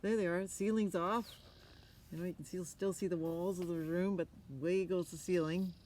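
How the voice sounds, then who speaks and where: A woman's voice: on the low side, fairly even intonation, normal speed, warm tone. An older woman reading a picture book aloud outdoors, with chatty asides and questions to young listeners. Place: outdoors on a forest floor. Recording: outdoor ambience with noticeable background noise.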